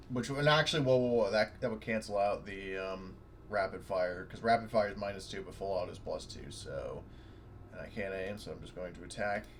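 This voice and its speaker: A man playing a tabletop game who swears freely and thinks out loud about the rules. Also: on the low side, a little hiss or hum. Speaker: player. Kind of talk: casual tabletop game chat.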